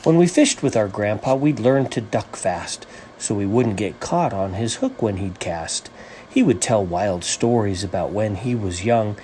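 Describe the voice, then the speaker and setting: A man reading a rhyming children's story as its author, with an animated, sing-song rhythmic delivery. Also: on the low side, a little hiss or hum, low-quality recording.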